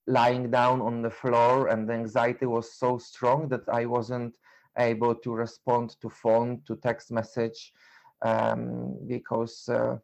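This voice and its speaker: A middle-aged man with a Polish accent talks in a reflective interview style, with a creaky, gravelly voice at a medium pitch. His delivery is halting, with repeated words and non-native phrasing. The sound is slightly dull and lo-fi. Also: a little mellow. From Poland. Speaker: interview guest, endurance runner and coach.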